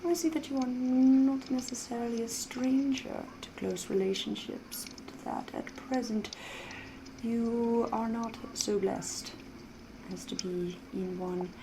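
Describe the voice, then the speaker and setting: A woman playing a sly, elegant, flirtatious vampire in a soft-spoken, half-whispered ASMR roleplay, with genteel phrasing and teasing pauses. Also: a little high, background noise. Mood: probing, teasing.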